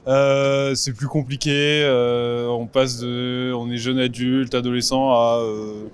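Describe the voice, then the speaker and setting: A man, explaining casually and haltingly in an outdoor street interview. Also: medium pitch, little pitch movement. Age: mid-20s. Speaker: interviewed passer-by.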